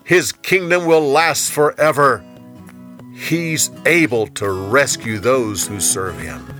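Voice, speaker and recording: A man's voice: medium pitch, slow, fairly bright tone, faint music behind. A man voicing a commanding yet earnest Persian king in a Bible story, with emphatic, proclaiming delivery.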